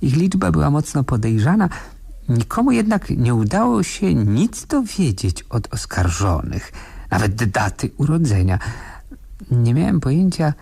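An actor playing a wry defence lawyer who narrates his strange case in a 1970s radio play, with a husky, expressive, literary delivery. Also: mid-range voice, a little slow, heavily acted. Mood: wry, storytelling.

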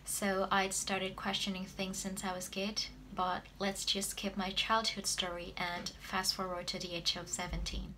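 Woman, twenties, Indonesian accent, mid-pitched, personal vlog storytelling, slight room noise behind. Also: level tone, measured pace, few pauses, sharp tone, rough recording. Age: 20s. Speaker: vlogger telling personal story. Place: small plain room indoors.

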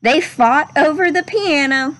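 A woman's voice: high voice, unhurried, fairly bright tone. A young adult woman reading and talking to children as a storyteller, in a simple, instructive way. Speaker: read-aloud storyteller for children. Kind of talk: children's picture book read-aloud and chat to young viewers. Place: outdoors under a covered wooden pavilion.

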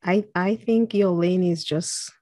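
A woman's voice: on the low side, steady tone, slow, a little mellow, no room sound, studio quality. A woman hosting a podcast, asking questions in a hesitant way with many fillers over a video call. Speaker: podcast host. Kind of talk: interviewing a guest. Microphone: video call with a dark foam mic.

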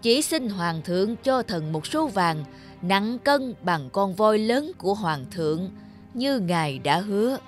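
A woman's voice: mid-range voice, sing-song, a little slow, faint music behind. A woman voicing a self-assured male royal physician in a cartoon, formal, learned and respectful. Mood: confident, deferential. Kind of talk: cartoon voice acting.

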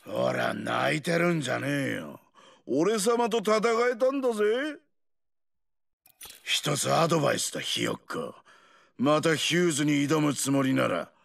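An actor playing a brash, cocky ageing brawler in a video game, with rough, taunting talk and a gravelly voice. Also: male voice, fairly high pitch, fairly bright tone, exaggerated voice. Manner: rough, swaggering, taunting slang. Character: brash, rowdy, cocky ageing male explosives-loving brawler. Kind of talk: video game character voice lines.

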